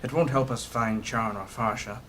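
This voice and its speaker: A man playing an elderly, courteous and reasonable mage in a fantasy audio drama, speaking formally and with measured argument. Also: medium pitch, steady tone, a bit bright, slight background noise, close to an everyday voice. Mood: firm, calming.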